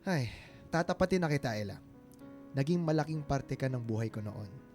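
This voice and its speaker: An actor with a Filipino accent playing an earnest, smitten ex-boyfriend in his late twenties, speaking haltingly in a radio drama. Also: medium pitch, animated, a little mellow, natural voice. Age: 20s. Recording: music bed behind the voice.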